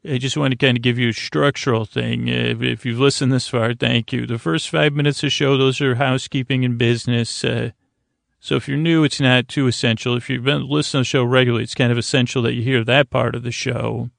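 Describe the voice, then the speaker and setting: A male podcast host speaks in a creaky, gravel-edged voice at a middling pitch. He rambles soothingly, as if easing listeners toward sleep, circling back and leaving thoughts half finished. The sound is very dry, with no room around it. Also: little pitch movement, a bit bright, no room sound. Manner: meandering, halting, repeats and trails off mid-thought.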